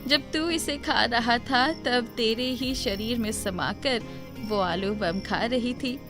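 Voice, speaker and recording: An affectionate old village grandmother from an animated moral tale, acted by a woman. Her voice is fairly high for her years, and she reassures like a kindly spirit. Music plays clearly underneath. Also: takes it slowly. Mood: gentle, wistful. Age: older. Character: kindly old grandmother, later a gentle ghost. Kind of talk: Hindi animated moral story acting.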